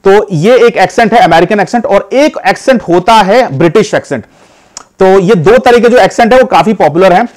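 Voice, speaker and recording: A man's voice: high voice, expressive, brisk. A man from India chatting casually to camera as a vlogger, calling viewers "guys" and filling his talk with hesitations and restarts. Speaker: vlogger giving advice.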